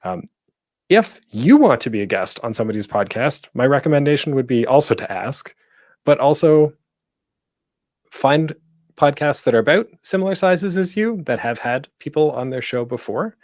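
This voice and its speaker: A man's voice: medium pitch, lively intonation, moderate pace, darkish tone, no room sound, clean studio sound. A man giving an informal presentation as a host, conversational and thinking aloud as he goes. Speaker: panel host and podcaster giving tips. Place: at home, online panel.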